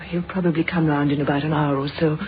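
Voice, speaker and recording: An actress playing a composed, solicitous British wife, brisk and soothing, in an old-fashioned radio drama. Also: female voice, sits low, steady tone, close to an everyday voice. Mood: matter-of-fact. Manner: brisk, soothing, matter-of-fact. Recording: tinny, noisy old radio recording.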